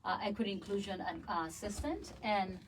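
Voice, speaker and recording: A woman's voice: medium pitch, expressive, brisk, distant mic, a little hiss or hum, low-quality recording. A woman from the Dominican Republic, a guest in a radio studio, talking in a friendly way about her outreach work. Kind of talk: casual radio chat.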